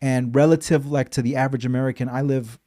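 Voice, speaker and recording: A man in his thirties from Los Angeles hosts a podcast, his voice mellow and warm. He talks candidly and drops curse words without fuss. The delivery is easygoing chat. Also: mid-range voice, steady tone, quick pace. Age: 30s. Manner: candid, swears casually. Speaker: podcast host, financial advisor. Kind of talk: casual interview chat.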